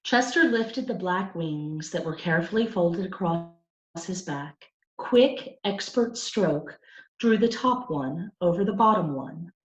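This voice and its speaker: A woman reading a children's story aloud in an expressive storytelling style. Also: fairly low pitch, measured pace, roomy sound, highs cut off. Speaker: storybook reader.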